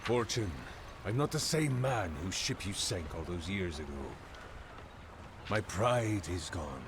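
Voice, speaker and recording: Fallen pirate king: very deep, swooping delivery, menacing game villain, outdoor noise behind. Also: lively intonation, measured pace. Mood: grave, defiant. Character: deposed pirate king, menacing, proud, fatalistic grown man.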